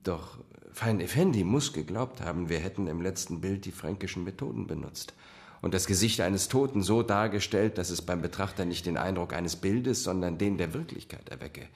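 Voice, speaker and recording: An actor in a radio drama playing an agitated painter with a dark secret, phrasing things weightily and deliberately. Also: male voice, sits low. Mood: grave, guarded.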